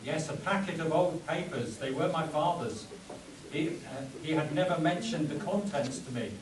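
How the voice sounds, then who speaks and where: In a roomy hall with an audience murmuring, a man plays a commanding adventure hero for a radio spoof. His voice is a little high and earnest, and he trips haltingly over his lines. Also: recorded from a distance, a crowd in the background, close to an everyday voice. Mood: earnest, grave. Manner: halting, stumbling over the script. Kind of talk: spoof radio play, read from script. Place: convention stage before an audience. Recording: echoey convention room from afar, audience noise.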